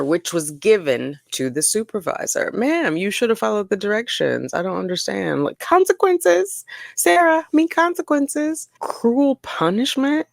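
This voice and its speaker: Commentary host for dramatic document readings: creaky voice, sassy and theatrical, dry close sound. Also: mid-range voice, no room sound. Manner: theatrical, sassy, mock-incredulous asides. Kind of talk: dramatic reading of jail reports with casual asides.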